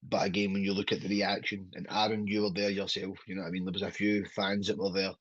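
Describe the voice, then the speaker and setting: A man's voice: low voice, little pitch movement, fast talker, a bit bright, no room sound. A man in his thirties from Scotland with a Glaswegian accent, a wrestler chatting on a podcast in a rambling, sweary way that keeps checking in with the listener. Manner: rambling, sweary, keeps asking "do you know what I mean". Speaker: pro wrestler guest. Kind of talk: casual podcast chat. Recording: dull, lo-fi, dry podcast audio.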